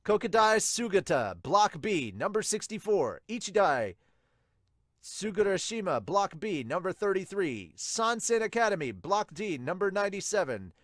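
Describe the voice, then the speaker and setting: A man voicing an excited tournament announcer for a manga dub, calling out names in a projected, drawn-out way. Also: sits high, no echo, clean studio sound, voice pushed up. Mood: announcing.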